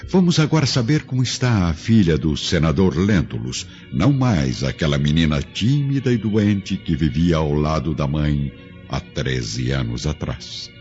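A man's voice: extremely low pitch, very animated, measured pace, darkish tone. A man narrating a radio drama in a solemn, formal, theatrical manner. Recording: dull lo-fi audio with music behind.

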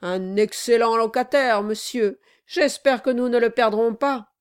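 A woman voicing a servile, flattering male lawyer in an audiobook, obsequious and deferential. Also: a little high, a little slow, clean tone, close to an everyday voice. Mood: anxiously deferential. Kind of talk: dramatized audiobook reading.

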